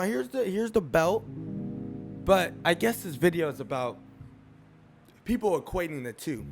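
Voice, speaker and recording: Animated man ranting on his vlog. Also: sits high, vocal fry. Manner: animated, repeats phrases for emphasis. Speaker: sports commentary YouTuber. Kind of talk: casual opinion vlog.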